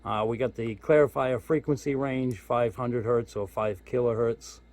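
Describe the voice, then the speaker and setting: A man's voice: mid-range voice, steady tone, moderate pace, darkish tone. Casual man talking through a gadget. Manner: informal, thinking aloud. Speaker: hobbyist radio reviewer. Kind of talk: casual hands-on product walkthrough. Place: indoors at home.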